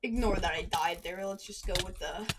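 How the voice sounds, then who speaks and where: A woman's voice: mid-range voice, moderate pace, noisy background. A woman chatting casually while gaming, rambling through a story and breaking off to react to the game. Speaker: gaming commentator. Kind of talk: casual storytelling over live gameplay. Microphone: desk microphone at a computer. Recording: keyboard and mouse clicks throughout.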